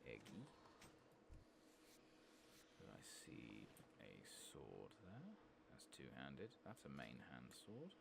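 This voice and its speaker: A man giving soft-spoken, murmured ASMR commentary as he plays a game, muttering to himself in an unhurried way. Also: rumbling low, huge pitch swings, creaky, heavy background noise. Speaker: gaming ASMR video creator.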